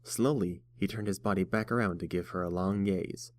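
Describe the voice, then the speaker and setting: A man's voice: sits low, fairly even intonation, normal speed, slight background noise. A man narrating a story from a script as a storybook narrator.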